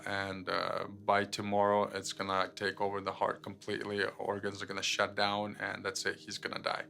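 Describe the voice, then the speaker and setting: A young man from Ukraine telling his life testimony unscripted, halting with many fillers and repeated words. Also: lowish voice, vocal fry, sharp tone. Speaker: guest sharing his testimony. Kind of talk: personal testimony, unscripted storytelling.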